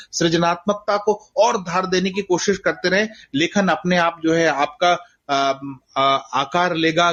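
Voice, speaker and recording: A middle-aged man with an Indian accent speaks in a high-pitched voice. He gives reflective interview answers as an author, earnest and rhetorical, repeating phrases for emphasis. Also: a bit bright, highs cut off. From Bastar, India. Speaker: guest author.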